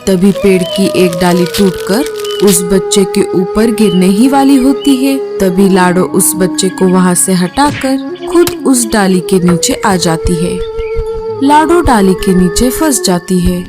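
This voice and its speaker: A woman's voice: medium pitch, animated, measured pace, music in the background. A woman narrator reading a scripted children's cartoon story.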